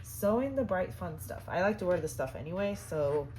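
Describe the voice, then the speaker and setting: A woman hosting a sewing tutorial, chatty and upbeat with lots of fillers, her voice hoarse and cutting in and out. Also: fairly low pitch, expressive, normal speed, a little mellow, slight background noise, poor audio. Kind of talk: casual how-to demonstration.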